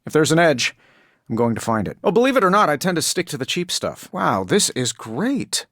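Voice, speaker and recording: An actor playing a witty, cocky billionaire inventor superhero in a video game, with a glib, sardonic, conversational delivery. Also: male voice, a little high, big pitch swings, a little fast, a bit bright, no room sound, clean studio sound, exaggerated voice. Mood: wry, confident. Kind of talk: video game character voice lines.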